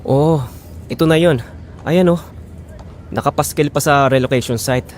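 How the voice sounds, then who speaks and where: A man's voice: fairly high pitch, a little slow, slight background noise, close to an everyday voice. A man playing a hopeful, stubborn husband and father in an audio drama, reassuring and insistent.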